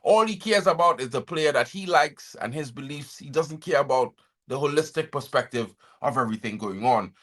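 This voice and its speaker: A man's voice: medium pitch, lively intonation, normal speed, fairly bright tone. A man from Trinidad and Tobago hosting a football fan channel, reading transfer news aloud and cutting in with chatty, opinionated asides to his viewers.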